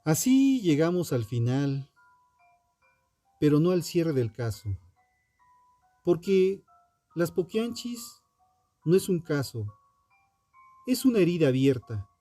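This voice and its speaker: A man narrating a scripted true crime story with dramatic, deliberate phrasing and pointed pauses. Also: fairly high pitch, big pitch swings, warm tone, dry recording. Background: music under the voice.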